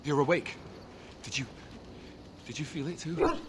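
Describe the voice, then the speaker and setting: An actor playing an alarmed male warrior companion in a fantasy video game, speaking with hushed urgency. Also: fairly high pitch, measured pace, heavy background noise, lightly acted.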